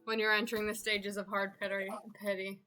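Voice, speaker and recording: A woman's voice: mid-range voice, flat delivery, brisk, bright, forceful tone, low-quality recording. A woman streaming a game with casual chat, blunt and sweary in manner. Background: game music.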